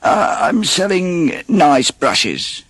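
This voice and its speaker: A big bad wolf for a storybook, angry and scheming. The voice is husky and pushed high, with long blustering threats that swoop widely. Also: male voice, sing-song, fairly bright tone, dry recording, full character voice. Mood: sly, wheedling. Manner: huffing, drawn-out bellowed threats.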